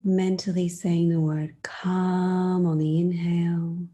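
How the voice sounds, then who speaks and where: A woman guides a meditation in a gentle, slightly low voice with a deeply mellow, muted tone. She speaks slowly and softly, sometimes slipping into breathiness, and leaves unhurried pauses between soothing phrases. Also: flat delivery.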